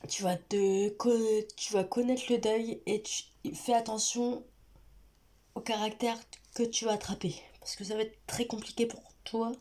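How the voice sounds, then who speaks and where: A woman's voice: fairly high pitch, little pitch movement, a little slow, a little mellow. A young woman vlogging with a stuffy nose from a cold, talking hesitantly and directly to her viewers with many fillers and restarts. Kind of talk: personal confessional vlog talk.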